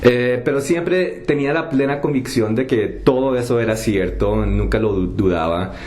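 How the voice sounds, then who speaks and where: A young man from the United States telling his personal story in a casual, halting way, with many fillers and restarts. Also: medium pitch, darkish tone. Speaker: testimony vlogger. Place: home kitchen.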